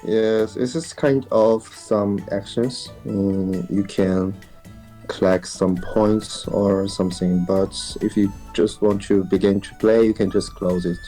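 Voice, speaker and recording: A man's voice: lowish voice, steady tone, slow, warm tone, faint music behind. A man from China with a Chinese accent, casually explaining a game as a guest in hesitant, brief answers. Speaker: guest co-player.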